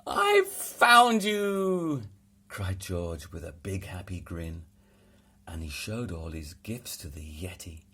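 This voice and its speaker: Deep, husky man reading a storybook. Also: fairly even intonation, a little mellow. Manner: dramatic, deliberate. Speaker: storybook reader. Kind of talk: expressive read-aloud children's narration.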